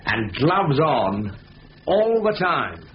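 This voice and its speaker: A man's voice: mid-range voice, sing-song, very slow. An actor in a 1970s radio drama playing a patient ringleader who briefs his thieves in short, clipped instructions. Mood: firm. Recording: muffled old radio broadcast with hiss.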